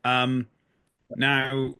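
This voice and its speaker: A man's voice: mid-range voice, completely flat delivery, very slow, bright, forceful tone, clear, resonant tone, dry recording. A man hosting a casual podcast interview, not from Canada, affirming and keen as he asks questions. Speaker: podcast host.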